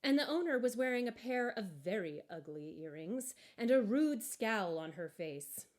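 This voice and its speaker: A woman narrating a children's story expressively, with measured, deliberate emphasis. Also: a little high, big pitch swings. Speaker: story reader.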